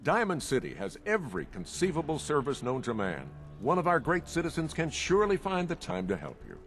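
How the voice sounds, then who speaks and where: An actor playing a pompous, slippery middle-aged mayor in a video game, speaking in oily, grandiose politician's patter. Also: male voice, a little high, wildly swooping pitch, fairly quick, a bit bright, faint music behind, full character voice. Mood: placating. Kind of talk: video game dialogue acting.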